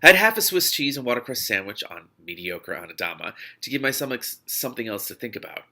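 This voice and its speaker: A man reading a novel aloud expressively, in an informal, animated way that leaves room for his own reactions. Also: mid-range voice, quick pace. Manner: animated, informal, breaks off to comment. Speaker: reader and host of a read-along series.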